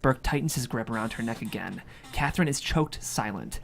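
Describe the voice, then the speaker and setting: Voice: medium pitch, lively intonation, brisk, creaky, a little hiss or hum. A narrator reads screenplay action lines and scene headings as scripted dramatic narration. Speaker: script narrator reading stage directions.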